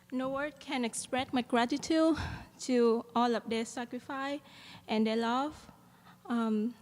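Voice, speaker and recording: Vietnamese-accented young woman in a reverberant hall. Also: fairly high pitch. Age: young adult. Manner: earnest, with frequent "um" fillers and light laughs. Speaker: student speaker at a graduation. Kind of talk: commencement speech. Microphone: podium microphone.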